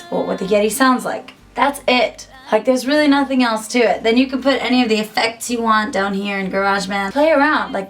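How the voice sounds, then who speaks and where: A young woman giving a casual, upbeat tutorial to camera from a small home music studio, chatty and full of "like". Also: medium pitch. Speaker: musician and YouTuber.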